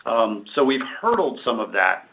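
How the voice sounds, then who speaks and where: A man's voice: medium pitch, very animated, moderate pace. Male executive on a dull, noisy call. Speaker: company executive on an earnings call. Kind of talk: answering analysts' questions on a conference call. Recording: muffled, noisy conference-call line with clicks.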